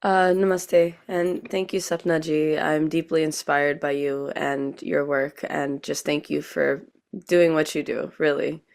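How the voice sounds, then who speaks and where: A woman from an Indo-Guyanese family in the United States, speaking earnestly and deliberately as a priestess giving a personal account on a panel. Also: low voice, level tone, creaky, a little mellow.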